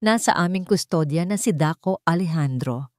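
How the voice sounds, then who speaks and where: A woman's voice: on the low side, lively intonation, moderate pace, a little mellow, no room sound, clean studio sound, close to an everyday voice. A woman voicing a formal, respectful male army general in a story, grave and concerned in manner.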